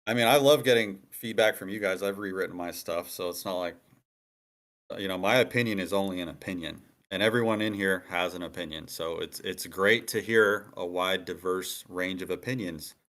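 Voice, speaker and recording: A man's voice: low-pitched, normal speed, a bit bright. A man in a casual group discussion, explaining his views on writing at length with emphasis and repetition. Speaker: screenwriter giving feedback. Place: online meeting room.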